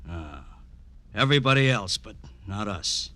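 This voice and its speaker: A bitter veteran in an old radio crime drama. The voice is very bright, hard and forceful, with a taunting, sardonic delivery that sneers with cruelty. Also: male voice, fairly low pitch, expressive, a little slow. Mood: bitter, mocking.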